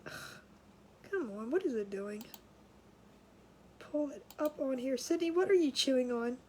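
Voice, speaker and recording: A woman's voice: sits high, expressive, a little slow, warm tone, a little hiss or hum. A woman hosting a casual craft livestream from home, sounding nasal and congested, chatty and scattered, with frequent laughs and restarts.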